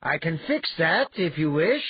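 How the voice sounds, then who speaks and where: A man's voice: a little high, sing-song, measured pace, husky, no echo, muffled recording, pitched above the performer's own voice, heavily acted. A man voicing a wily adventurer thief in a fantasy storybook, urbane, mock-polite and taunting. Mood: gloating.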